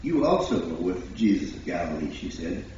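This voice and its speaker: A man's voice: sits low, very animated, normal speed, darkish tone, slight background noise. A man reading scripture solemnly as a church member at a service, recorded in a church. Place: large indoor church, distant mic. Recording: very echoey, distant, dull church recording.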